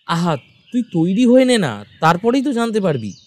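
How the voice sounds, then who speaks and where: A man's voice: high voice, sing-song, moderate pace, a little mellow. An actor playing a young village man, a familiar and reassuring friend, in an audio drama. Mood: coaxing. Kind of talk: Bengali audio drama acting.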